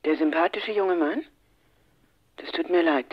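A man's voice: fairly high pitch, a little slow, dull audio. An actor playing a detective's teasing, caring male friend over the telephone in a radio play. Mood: thoughtful.

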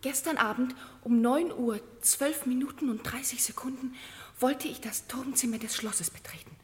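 An actress playing a prim chambermaid testifying with breathy, formal melodrama in a parody crime radio play. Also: female voice, high-pitched, fairly even intonation, normal speed, natural voice. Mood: hushed horror. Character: prim, dramatic young chambermaid giving testimony. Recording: cavernous reverberant radio-play sound.

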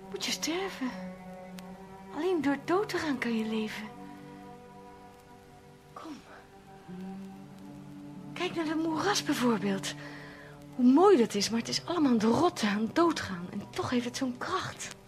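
An actress playing a tender, seductive guide in a hypnotic audio drama, soothing the listener intimately and often in a whisper. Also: female voice, high voice, expressive, slow pace, pauses often. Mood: coaxing. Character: tender, seductive woman guide coaxing listener toward death. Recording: music behind the voice. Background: music.

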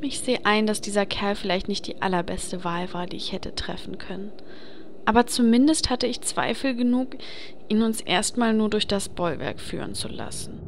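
Woman playing a composed mid-pitched guild leader. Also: moderate pace, gravelly, slight background noise, lightly acted. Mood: composed, commanding. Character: composed female guild leader of fantasy adventurers. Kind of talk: fantasy audio drama parody.

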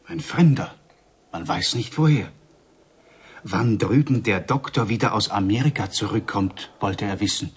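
An actor in a German radio drama playing an excitable villager who passes on wondrous rumours with suspense. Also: male voice, lowish voice, a little slow, slightly rough, heavily acted. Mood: matter-of-fact.

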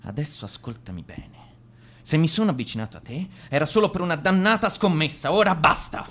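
A man's voice: fairly high pitch, expressive, fairly quick, a bit bright. A young man acting in a radio drama as a cocky teenage lifeguard, boastful, curt and defensive. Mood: defensive, irritated. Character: cocky teenage lifeguard boy, defensive, hiding his fear.

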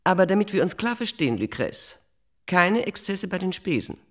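A woman's voice: on the low side, sing-song, moderate pace. An actress playing an imperious, cynical middle-aged female editor-in-chief in a German radio drama, bossy, dismissive and sarcastic. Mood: grudging.

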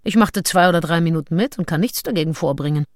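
Actress as self-assured gentlewoman over loud music. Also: on the low side, fast talker, lightly acted. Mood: self-assured, wry. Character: Anne Lister, self-assured, curious English gentlewoman of the 1820s. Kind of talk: German radio play acting. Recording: studio radio play over loud music.

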